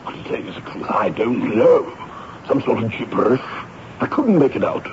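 Pompous affronted reverend, swoopy blustery voice, old radio drama, dull sound. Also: male voice, medium pitch, big pitch swings, normal speed, darkish tone. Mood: flustered. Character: indignant, self-important gentleman reverend. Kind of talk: 1970s radio drama acting. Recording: dull, faintly noisy old radio recording.

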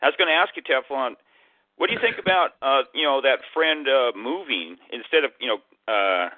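A man with a lively, up-and-down voice who keeps asking questions in a friendly chat. The sound is a dry, narrow phone line. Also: mid-range voice, a little fast, dry recording. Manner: curious, asks lots of questions.

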